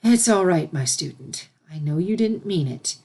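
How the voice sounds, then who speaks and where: A woman voicing a gentle, regal pony princess in a story reading, speaking as a patient and reassuring mentor. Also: lowish voice, expressive, moderate pace, husky. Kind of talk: animated fan fiction character reading.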